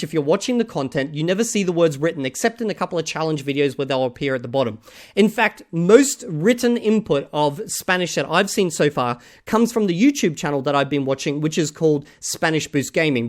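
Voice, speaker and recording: Man, reaction host, skeptical and emphatic, casual rant with loud bursts. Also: animated, brisk. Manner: skeptical, emphatic, swings between loud outbursts and low mutters.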